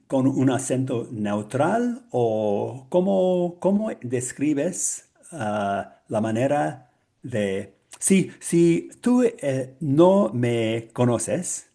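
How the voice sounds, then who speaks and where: Slow, halting man with a Mexican-tinged accent. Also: mid-range voice, very animated, dark tone. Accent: Mexican-influenced Spanish. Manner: hesitant, searching for words with many fillers. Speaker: Spanish student and co-host. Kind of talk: casual podcast chat.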